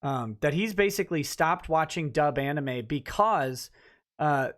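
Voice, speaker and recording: A man's voice: fairly high pitch, expressive, unhurried, fairly bright tone. Wry male livestream host. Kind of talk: casual livestream chat.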